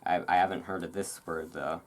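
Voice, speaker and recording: A host reading aloud in a relaxed ASMR style, with informal, self-deprecating asides. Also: low voice, a little fast. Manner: informal, self-deprecating over hard words. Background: thunderstorm ambience.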